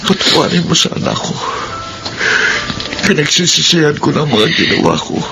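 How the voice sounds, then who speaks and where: A high-voiced Filipino man pleads earnestly in a radio drama, his pitch swinging widely with emotion. Music plays clearly behind his remorseful, heartfelt delivery. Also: sing-song, normal speed, poor audio.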